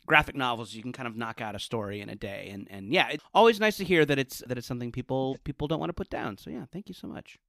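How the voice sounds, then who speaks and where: A man's voice: mid-range voice, expressive, quick pace, creaky, hardly any pauses, pushed and bright, dry recording. Enthusiastic man chatting, dry close sound. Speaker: podcast guest, comedy writer. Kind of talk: casual interview chat.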